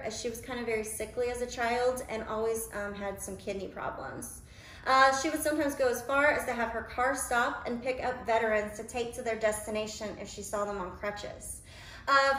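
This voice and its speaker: A woman in her forties talks through a bit of history while she cooks, her voice sitting a little high and broken up with many ums and uhs. She is recorded from a few feet away, and the sound carries the echo of a roomy home kitchen. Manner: informative, with frequent ums and uhs. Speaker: museum educator. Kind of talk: cooking demonstration with history talk. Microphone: camera a few feet away. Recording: roomy kitchen, mic at a distance.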